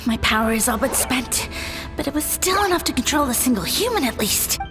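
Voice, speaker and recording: A woman's voice: sits high, animated, brisk. A woman voicing a pompous, scheming little mushroom lord in a grandiose, theatrical way for a video game. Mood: grim, scheming. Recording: long echoing reverb under music.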